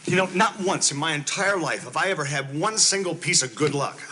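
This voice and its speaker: An actor playing a self-pitying husband who swings from whining to shouting in a comedy scene. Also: male voice, on the high side, lively intonation, brisk, slight background noise. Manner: melodramatic, whining then shouting. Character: self-pitying, explosive middle-aged husband.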